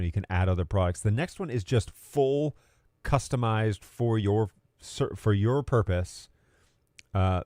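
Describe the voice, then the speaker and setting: Mellow low-voiced man explaining casually. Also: no room sound, clean studio sound. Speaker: presenter of a tech explainer video. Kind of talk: unscripted explainer, casual and conversational.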